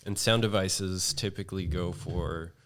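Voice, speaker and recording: A man talking as a podcast guest in casual chat at home, in a monotone way, halting with many fillers and restarts. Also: low-pitched.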